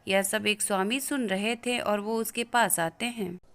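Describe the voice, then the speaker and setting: A woman's voice: medium pitch, steady tone, measured pace, fairly bright tone. A woman narrating a scripted children's moral story as the storyteller.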